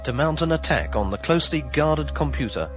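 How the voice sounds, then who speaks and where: Male announcer, measured, lively pitch, dull recording, music behind. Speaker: announcer and narrator. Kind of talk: scripted radio narration.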